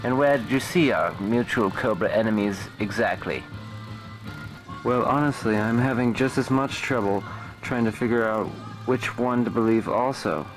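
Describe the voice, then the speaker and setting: A man's voice: on the low side, moderate pace, faint music behind, lightly acted, poor audio. A man playing an earnest military hero in an amateur audio drama, declaiming in a stilted way. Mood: pondering, earnest. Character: earnest military hero puzzling over parallel worlds.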